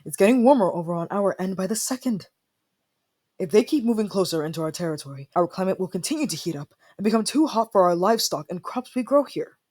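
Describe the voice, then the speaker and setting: Casting: an actor as a proud young fantasy prince of frost, tsundere temper, husky mid-pitched voice, formal and prickly. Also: darkish tone. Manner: indignant, formal, dramatic sighing delivery. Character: young haughty, easily flustered ice prince, tsundere temper. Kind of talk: fantasy audio roleplay acting.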